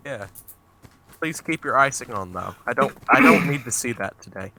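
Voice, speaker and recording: A man's voice: medium pitch, lively intonation, moderate pace, sharp tone, a little hiss or hum. A man with a Southern US accent chatting in a casual, joking, mock-stern way over a game session, with frequent stumbling restarts. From American South. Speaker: gamer on a multiplayer server.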